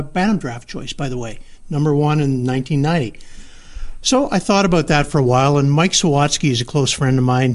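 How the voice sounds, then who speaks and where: A man, a newspaper sports reporter, telling stories in a measured way as a podcast guest. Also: mid-range voice. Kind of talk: casual podcast interview.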